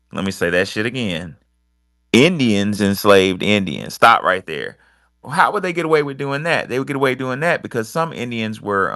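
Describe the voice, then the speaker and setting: A man hosting an online discussion, giving informal commentary and reading quotes in a halting, deliberate way. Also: fairly low pitch, pushed and bright, dry recording, clean studio sound. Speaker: host of an online audio discussion. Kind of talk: informal commentary with read quotations.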